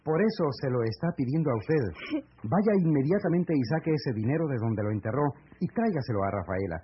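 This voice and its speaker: An actor with a Mexican accent playing an earnest, courteous man in a radio serial, speaking formally and deliberately. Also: medium pitch, lively intonation, a little hiss or hum, natural voice. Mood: urgent. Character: earnest, courteous man patiently breaking grave news. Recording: muffled, lo-fi old AM radio recording.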